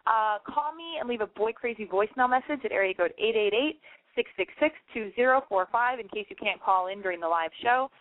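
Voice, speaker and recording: A woman's voice: a little high, animated, a little fast. Blunt, gushing radio host woman. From German family. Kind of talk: late-night call-in advice show. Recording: tinny phone-like audio.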